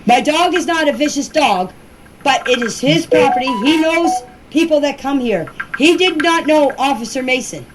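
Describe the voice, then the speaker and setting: A woman with a high-pitched voice gives her own side of things in an informal voice clip. She is defensive and insistent, stressing her points firmly. There is faint background noise on the amateur recording. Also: expressive, normal speed, low-quality recording. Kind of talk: personal account answering questions in a voice message.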